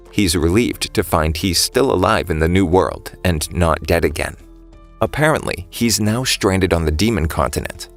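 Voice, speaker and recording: Very deep-voiced man narrating a story recap. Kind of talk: scripted storytelling narration, plot summary.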